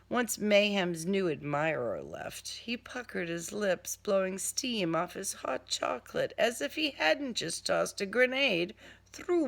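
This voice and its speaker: A woman's voice: medium pitch, gravelly, a bit bright. A woman author reading her own first-person crime novel as scripted audiobook narration.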